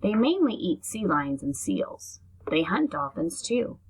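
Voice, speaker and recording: A woman's voice: on the low side, big pitch swings, a little slow, husky, rough recording. A woman reading aloud to young children like a teacher, explaining things and adding asides as she goes.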